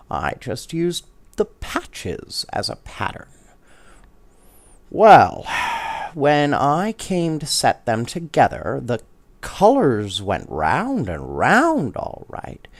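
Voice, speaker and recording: Guy doing a nice older lady from a storybook, gently remembering girlhood, takes it slow. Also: mid-range voice, vocal fry, no room sound, exaggerated voice. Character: kindly older woman recalling her girlhood quilt.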